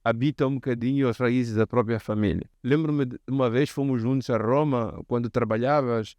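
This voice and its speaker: A man's voice: mid-range voice, steady tone, normal speed, darkish tone, no room sound. Mozambican man hosting, discursive and professorial. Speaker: host. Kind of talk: reflective interview conversation.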